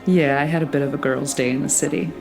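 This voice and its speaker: A woman playing a kind, composed, affectionate character in a naturalistic audio drama, gently teasing. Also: low voice, steady tone, fast talker, gravelly, music in the background, low-quality recording. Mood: friendly, upbeat.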